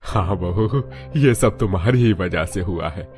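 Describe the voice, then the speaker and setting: A man acts out an elderly father-in-law from a cartoon moral story. The voice is deep, and the manner is kindly, approving and supportive. Music plays faintly underneath. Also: unhurried, darkish tone. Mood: grateful.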